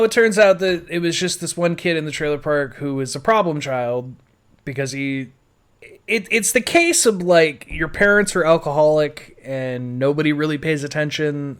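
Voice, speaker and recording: Male podcast host, American, slightly higher-pitched voice; casual, rambling, foul-mouthed personal storytelling. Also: a bit bright.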